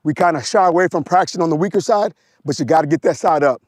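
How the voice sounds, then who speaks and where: Man, hoarse, high voice, motorcycle instructor, step-by-step coaching, outdoors, wireless mic. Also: fast talker, dry recording. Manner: step-by-step coaching, repeats cues. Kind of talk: outdoor riding demonstration.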